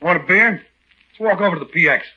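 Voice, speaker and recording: An actor playing a brash, skeptical American army sergeant who talks in blunt, slangy, clipped questions, 1940s radio style. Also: male voice, sits high, quick pace, sharp tone, breathy edge, heavily acted. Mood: nosy, casual. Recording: dull lo-fi 1940s radio recording.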